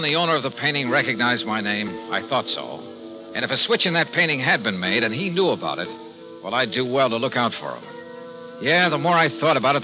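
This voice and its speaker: A man's voice: mid-range voice, lightly acted. An American man playing a shrewd, cool insurance investigator in a 1950s radio detective drama, with a wry, clipped, colloquial delivery. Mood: wry narrating. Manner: wry, clipped, colloquial, narrates as well as converses. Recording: muffled vintage radio recording, faint music.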